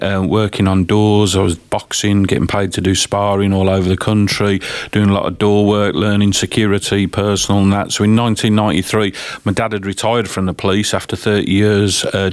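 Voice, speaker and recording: A man in his fifties with a Northern Irish lilt softened by years in the West Midlands, a company chief answering radio interview questions in a plain-spoken, rambling way with lots of ums. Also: on the low side, no room sound. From Northern Ireland. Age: 50s. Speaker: company CEO, interview guest.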